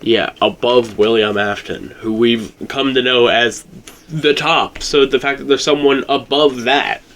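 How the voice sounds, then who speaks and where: Agreeable man chatting, medium-pitched voice. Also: fairly even intonation, measured pace, a bit bright, slight background noise. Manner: agreeable, backing up his co-host. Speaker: co-host discussing video game theories. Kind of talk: casual chat.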